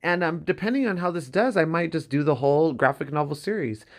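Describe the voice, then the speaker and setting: A man's voice: fairly high pitch, fairly quick. Upbeat man chatting casually to viewers. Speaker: video creator.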